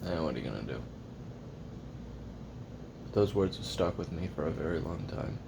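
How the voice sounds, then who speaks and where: A man plays a hard-drinking, jaded male assassin taping his memoirs for an audio roleplay. His voice is low, with a gravelly fry, and he rambles wryly, cursing and putting himself down. Clear hiss and rumble sit under the recording. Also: lively intonation, moderate pace, heavily acted. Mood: subdued, reflective. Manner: profane, wry, rambling, self-deprecating. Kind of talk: confessional audio roleplay monologue.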